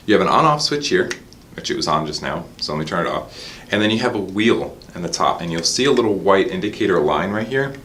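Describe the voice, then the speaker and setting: A man with a fairly low voice presents a product review, moving his pitch around in a lively way as he explains things informally. He sounds like a specialist walking viewers through a demonstration. There is faint background noise.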